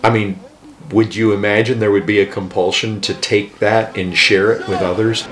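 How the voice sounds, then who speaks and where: Middle-aged American pastor, low-pitched, earnest interview, roomy living room sound. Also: male voice, flat delivery, normal speed, a bit bright. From United States. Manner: earnest, emphatic, gestures with open hands. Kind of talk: documentary interview.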